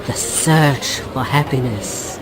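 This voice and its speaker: An actress playing a dreamy would-be writer, tipsy and wistfully philosophical. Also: female voice, a bass voice, takes it slowly, over music, low-quality recording. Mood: musing. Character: dreamy drunk would-be writer, Comma, young woman. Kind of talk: radio drama acting.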